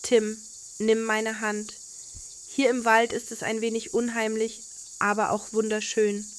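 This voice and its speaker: A woman voicing a curious, gentle young girl full of wonder in a children's bedtime story. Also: a little high, level tone, unhurried, slight background noise, close to an everyday voice. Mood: slightly uneasy.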